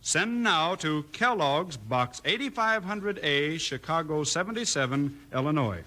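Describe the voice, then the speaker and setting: An American man giving a folksy, exuberant 1950s radio commercial pitch aimed at children. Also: expressive, a little slow, sharp tone. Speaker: commercial announcer. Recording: old 1950s radio recording.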